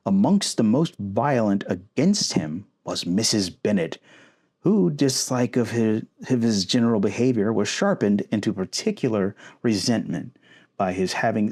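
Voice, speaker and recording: A man reading a classic novel aloud as expressive storybook narration for an ASMR recording. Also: on the low side. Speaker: audiobook-style narrator.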